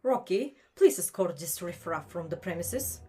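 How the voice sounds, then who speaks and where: A woman's voice: on the low side, lively intonation, moderate pace, over music, close to an everyday voice. A woman playing a vain, indulgent celebrity diva in an audio drama, affected and haughty.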